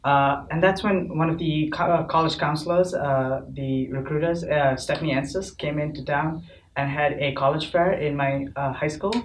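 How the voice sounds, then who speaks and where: A man's voice: fairly high pitch, steady tone, normal speed. Upbeat young man in an echoey room. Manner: upbeat, friendly, with fillers. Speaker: host. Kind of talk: casual panel chat. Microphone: distant mic. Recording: echoey room, mic far off, faint noise.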